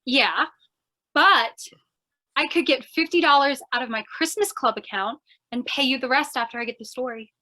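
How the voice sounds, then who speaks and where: A woman's voice: high-pitched, normal speed, sharp tone. A woman playing a scatterbrained, chatty, eager young lady reporter, earnest and theatrical, in old-time radio crime comedy style. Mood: eager, naive.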